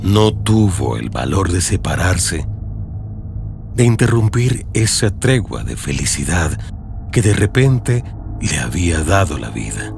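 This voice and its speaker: A man narrating a literary audio story in a solemn, measured, dramatic way. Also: low-pitched, very animated, vocal fry, noisy background. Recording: music behind the voice. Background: music.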